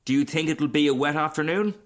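A man's voice: on the high side, fast talker, pitched above the performer's own voice, close to an everyday voice. A man voicing a small, cheerful male frog in a storybook, garrulous, genteel and very pleased with himself. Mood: cheerful, boastful. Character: cheerful, chatty, self-satisfied little male frog. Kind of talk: storybook character reading.

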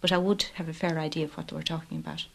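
A woman in an archive radio interview speaks in a low, level voice, with barely any rise or fall. She talks hesitantly, stopping to restart her sentences and filling gaps with small verbal fillers. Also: steady tone, racing, gravelly. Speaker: mother interviewed about raising bilingual children.

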